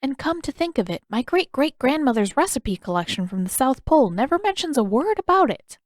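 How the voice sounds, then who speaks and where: A woman voicing a kindly, playful and gently curious elderly woman in a story reading. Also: sits high, sing-song, fairly quick, no echo, studio quality, voice pushed up. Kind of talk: audiobook character dialogue.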